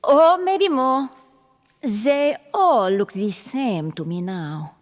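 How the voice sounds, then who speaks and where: Proud, cold noblewoman duelist played by a woman, imperious and slow, ringing in a long hall reverb over music. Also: high voice, animated. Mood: grim, resolute. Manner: formal, imperious, measured delivery. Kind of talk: fantasy audio drama acting.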